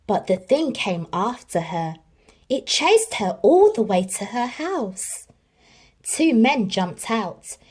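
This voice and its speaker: A woman reading a children's story aloud in an expressive, dramatic storytelling manner. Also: a little high, slow pace, breathy edge. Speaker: storybook reader.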